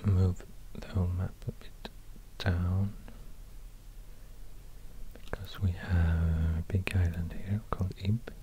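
A man with a Swedish accent giving soft, whispery ASMR narration in a hushed, gentle manner, close to a binaural mic. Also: low-pitched, little pitch movement, takes it slowly, pauses often, highs cut off, a little hiss or hum. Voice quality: whispery, breathy, unvoiced. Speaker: ASMR video host. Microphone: close binaural microphone.

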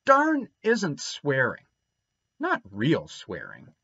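A man's voice: fairly high pitch, wildly swooping pitch, very slow, creaky, bright, forceful tone, breathy edge, no room sound, full character voice. A man reading aloud and voicing a defiant, rebellious young boy who talks indignantly and boastfully, on a dull lo-fi recording.

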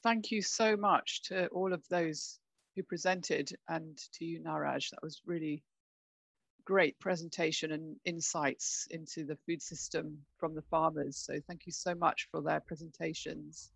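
A woman's voice: sits low, fairly even intonation, a little slow, gravelly, breathy edge, no room sound. A middle-aged woman moderating a webinar through a headset on a video call. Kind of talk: webinar hosting. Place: room at home.

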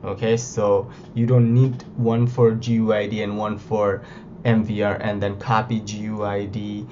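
A man talks through a computer build guide in an easygoing, rambling way, his voice hoarse from a recent illness. His tone is mellow and mid-pitched. The recording sounds a little muffled, with a faint hiss underneath. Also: level tone, measured pace. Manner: informal, many fillers like "you know" and "like". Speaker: tech video host. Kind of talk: casual tutorial walkthrough. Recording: slightly dull lo-fi with faint noise.

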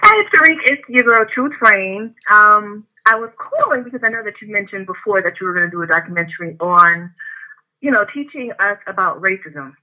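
A woman's voice: medium pitch, expressive, fairly quick, bright, forceful tone. A woman from Connecticut with a New England accent, calling in to a talk show and chatting in a friendly, rambling way with many fillers. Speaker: caller. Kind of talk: phone call to a talk show. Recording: tinny phone line.

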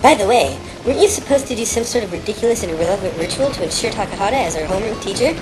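A woman's voice: medium pitch, expressive, racing, music in the background, close to an everyday voice, low-quality recording. A woman voicing a ditzy, blithely catty teenage schoolgirl in a comedic anime parody, with a casual manner. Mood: deadpan teasing.

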